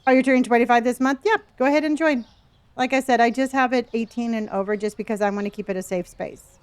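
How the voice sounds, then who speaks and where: A woman's voice: on the high side, steady tone, quick pace, smooth. Chatty sixty-something woman streamer. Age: 60s. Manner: chatty, reads chat aloud, trails off and restarts. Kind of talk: casual live-stream chat with viewers.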